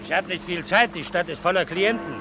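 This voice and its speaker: An actor playing a sly, pompous old village know-all in a radio play, theatrical and commanding, with a gravelly, husky voice. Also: male voice, high voice, a little fast, over loud music, poor audio. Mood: indignant. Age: older.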